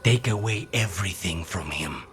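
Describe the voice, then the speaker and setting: Low-voiced brooding assassin. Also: male voice, animated, moderate pace, fairly bright tone, soft background music. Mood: cold resolve. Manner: terse, brooding. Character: grim, wronged male assassin, controlled and vengeful. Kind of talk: video game voice acting.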